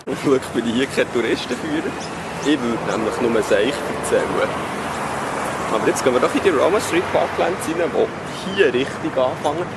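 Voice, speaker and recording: Man vlogging over loud outdoor noise. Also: medium pitch, moderate pace. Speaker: travel vlogger. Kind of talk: casual travel vlog commentary. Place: outdoors in a city park and streets. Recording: very noisy outdoor street and park audio.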